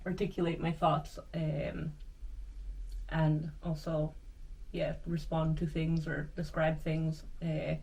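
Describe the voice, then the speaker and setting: Young woman guest, native Spanish speaker, casual and rambling with fillers, a bit low, mic at a distance. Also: slow pace, gravelly. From Finland and Peru, grew up in Mexico. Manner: relaxed, many "like" fillers, laughs easily. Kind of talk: casual chat. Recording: recorded from a distance.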